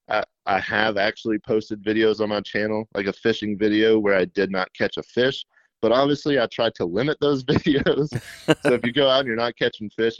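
Shy young guy, real low voice, kinda monotone, lots of ums, dry dull-sounding recording. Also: no room sound. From middle Tennessee. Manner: shy, self-described monotone, halting with fillers. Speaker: fishing YouTuber guest. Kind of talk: casual interview.

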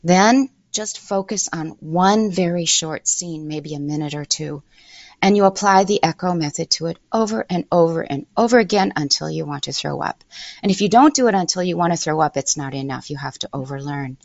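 A woman's voice: sits low, normal speed, dry recording. An older woman, an English teacher, giving emphatic advice in an interview and repeating points for stress. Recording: dull lo-fi dry sound.

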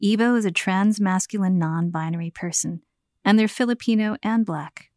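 A woman's voice: mid-range voice, moderate pace, darkish tone, dry recording, studio quality. A woman with an American accent hosting a podcast, moving between scripted narration and conversational interviewing.

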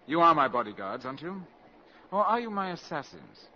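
An actor playing a suave, self-assured valet turned spy in a 1950s radio drama, sardonic and theatrically polite. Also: male voice, on the high side, sing-song, a little fast, bright, forceful tone, a little hiss or hum, heavily acted. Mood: mocking. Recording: dull old 1950s radio recording.

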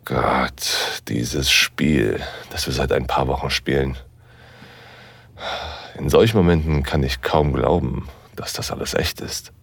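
Dominant seductive professor, super deep voice, slow n deliberate, bit breathy, intimate audio drama. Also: male voice, steady tone, fairly bright tone, dry recording, heavily acted. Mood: aroused, hushed. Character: dominant male university professor, seductive, teasing, commanding lover. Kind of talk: intimate second-person erotic audio drama narration.

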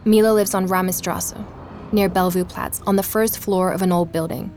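A woman's voice: on the low side, steady tone, moderate pace, a little mellow, natural voice. An actress plays an inquisitive woman investigator in an audio drama. She speaks politely and naturally, as if documenting her own search, with music faintly underneath. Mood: reflective, suspenseful. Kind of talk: audio drama, intimate narration and natural dialogue.

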